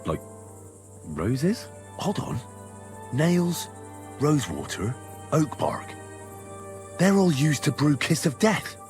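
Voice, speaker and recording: Bold, plain-spoken young soldier, music behind. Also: male voice, on the high side, big pitch swings, slow, long pauses, darkish tone, heavily acted. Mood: musing. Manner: matter-of-fact, frank. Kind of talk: dramatic video game acting.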